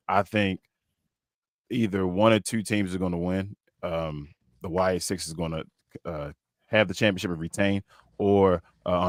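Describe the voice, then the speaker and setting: Man co-host with a very deep, dry, close voice and a relaxed, meandering delivery. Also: dry recording. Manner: laid-back, rambling, many fillers and restarts. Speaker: podcast co-host. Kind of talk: casual panel chat.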